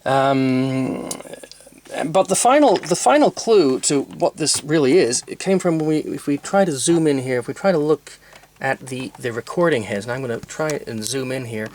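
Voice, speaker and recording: Male demo presenter, medium pitch, unscripted and methodical technical explainer with hesitant, halting delivery. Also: lively intonation, darkish tone. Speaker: presenter demonstrating vintage tape recorders.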